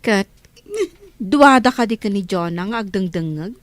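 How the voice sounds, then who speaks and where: An actress playing a caring older sister figure who questions and scolds gently in a radio drama. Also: female voice, mid-range voice, normal speed, no echo, natural voice. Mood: concerned. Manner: probing, concerned.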